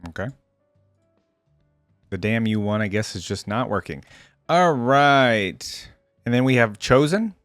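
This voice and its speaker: Male reaction host, mid-pitched, casual game commentary, musing, conversational, very dry sound. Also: a little slow, pauses often, fairly bright tone, dry recording, soft background music.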